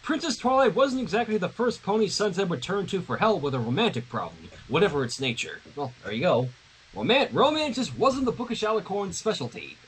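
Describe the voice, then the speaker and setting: A man's voice: sits high, big pitch swings, brisk, slightly rough, low-quality recording. A man reading fan fiction aloud on a livestream, narrating expressively with casual asides.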